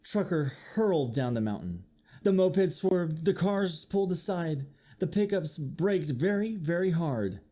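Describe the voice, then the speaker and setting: A man's voice: on the high side, unhurried. A man reading a children's picture book aloud as a teacher would, expressively, putting questions to his young listeners. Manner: expressive, acts out sound effects, asks listeners questions. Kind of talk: animated children's storybook read-aloud.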